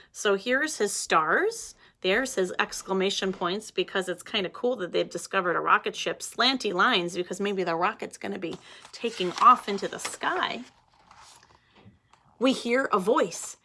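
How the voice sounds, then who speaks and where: Female teacher, storybook read-aloud, slow unhurried delivery, talks to the class. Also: mid-range voice. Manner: slow, expressive, addresses children directly.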